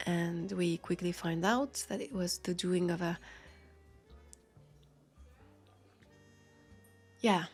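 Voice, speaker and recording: Actress for an immortal female vampire cop: French accent, guarded and world-weary, gravelly hushed voice, unhurried confessional audio roleplay. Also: lowish voice, heavily acted. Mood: shaken, hesitant. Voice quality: creaky, breathy. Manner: halting, hesitant, confiding, slipping into whispers. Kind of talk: intimate roleplay audio drama monologue.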